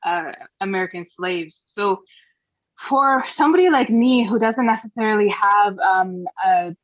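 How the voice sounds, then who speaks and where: A woman's voice: mid-range voice, a little slow, no echo. Hesitant young woman, dry dull sound. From Canada. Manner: hesitant, many fillers. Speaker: audience member asking a question. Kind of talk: casual question.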